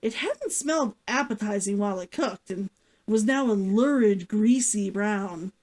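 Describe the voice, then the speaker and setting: A woman's voice: medium pitch, lively intonation, measured pace, a little mellow. A woman narrating a fiction story aloud as the author hosting a read-along.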